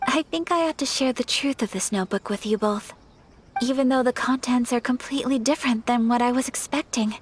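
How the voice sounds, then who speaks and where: A woman voicing a composed, polite young noblewoman who speaks softly and with measured care, in video game dialogue. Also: on the high side, background noise, natural voice. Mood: earnest. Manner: soft-spoken, measured and courteous. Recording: game audio with faint music.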